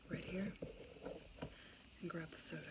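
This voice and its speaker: A woman softly whispering an ASMR doctor roleplay in an unhurried, soothing way. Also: on the low side, steady tone. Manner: hushed, soft-spoken, unhurried, soothing. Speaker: ASMR video host playing a doctor.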